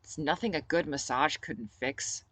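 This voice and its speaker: A woman's voice: low-pitched, a little slow, fairly bright tone, no room sound. A woman reading an audiobook and voicing an irritable, sarcastic female rebel captain who mutters stubbornly. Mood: grumbling.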